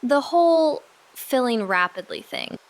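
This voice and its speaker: A woman playing an anxious, skeptical sidekick sleuth in an audio drama, hesitant and questioning with deadpan humor. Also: high voice, measured pace, low-quality recording. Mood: uneasy.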